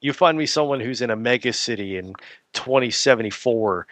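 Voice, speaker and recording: A man's voice: medium pitch, lively intonation, brisk, fairly bright tone, dry recording. A man chatting casually as a guest on an interview, informal and jokey as he explains things through examples. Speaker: podcast guest, adventure novelist.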